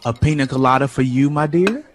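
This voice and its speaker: An actor playing an exuberant, theatrical jazz composer hosting a party in a 1940s audio drama, with a florid manner. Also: male voice, mid-range voice, a little fast, faint music behind, close to an everyday voice. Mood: playful, effusive. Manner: flamboyant, florid, switches into French. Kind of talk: period audio drama acting.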